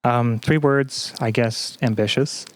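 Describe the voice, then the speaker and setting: A man talking casually as an interview guest, halting often as he searches for words. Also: mid-range voice, fairly even intonation. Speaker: podcast guest, graduate student.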